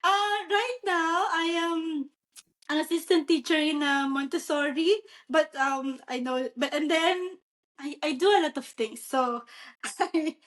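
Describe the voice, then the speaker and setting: A woman with a high, bright voice and a Filipino accent talks as a podcast guest. She giggles often and pauses to search for her words. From Philippines. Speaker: guest comedian. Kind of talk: casual podcast chat.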